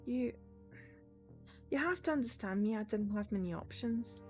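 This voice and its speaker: An earnest, anxious young female guard in a cyberpunk game, acted by a woman with her pitch raised. She sounds soft-hearted and pleading over faint music. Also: animated, moderate pace, lightly acted.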